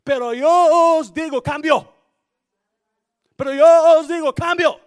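A male pastor with a Spanish accent, preaching a sermon that switches between Spanish and English and swings from quiet to shouting. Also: extremely high pitch, slow. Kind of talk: bilingual sermon.

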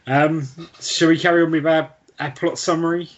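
A man's voice: a little high, little pitch movement, moderate pace, highs cut off. A man co-hosting a podcast, casually talking through a book with another person. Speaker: podcast co-host.

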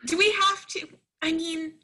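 A woman's voice: extremely high pitch, fairly even intonation, unhurried, bright, forceful tone, heavily acted. A woman playing a naive young would-be model in a play reading, hesitant and full of questions. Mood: unsure.

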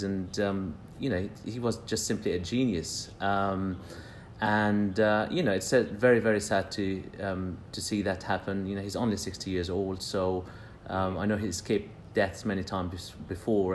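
A man in his thirties from Palestine, a podcast guest talking casually and haltingly, with many fillers and restarts. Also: low voice, a little hiss or hum. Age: 30s.

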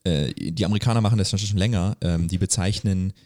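Casual male podcast host, low-pitched, rambling and colloquial, recorded dry. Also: brisk, a little mellow, dry recording. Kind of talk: casual conversational podcast chat.

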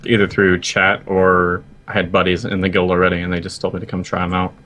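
A man's voice: sits low, monotone, a little fast, pushed and bright. A man casually answering interview questions in a gaming group chat. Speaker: guild member being interviewed.

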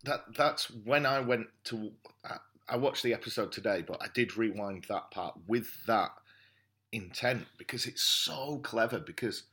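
A man co-hosting a podcast, discussing things analytically in thoughtful, halting phrases. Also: fairly low pitch, sharp tone, husky. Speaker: podcast co-host.

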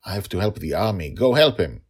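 Man playing a husky, urgent pirate hero dad. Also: low-pitched, big pitch swings, brisk, heavily acted. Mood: urgent, instructive. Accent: non-native English accent. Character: heroic pirate father urgently coaching his little daughter.

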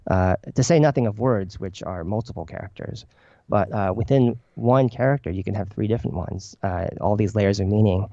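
Hesitant low-voiced man, gravelly and mellow. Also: normal speed, dry recording, muffled recording. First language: Chinese. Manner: hesitant, many fillers and restarts. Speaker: poet guest. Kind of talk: reflective interview answers.

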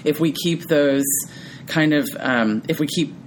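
A woman with a deep voice co-hosting a podcast in reflective casual conversation, often checking in with "right?" and laughing easily. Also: a little slow, a little hiss or hum, rough recording. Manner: tags thoughts with frequent "right?"; laughs easily, animated when amused. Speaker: podcast co-host.

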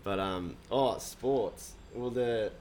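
A man's voice: fairly low pitch, takes it slowly, a little mellow, a little hiss or hum. A young Australian man, a guest musician, chatting casually in a rambling, laid-back way with lots of swearing and fillers. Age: young adult. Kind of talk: casual podcast chat.

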